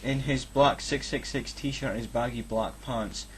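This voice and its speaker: A man's voice: medium pitch, a little slow. Scottish guy reading out a story, mocking it, sweary and fed up, bit of noise on the recording. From Scotland. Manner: sweary, exasperated. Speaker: reader on a comedy fan fiction reading recording. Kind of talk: casual mocking read-aloud with asides.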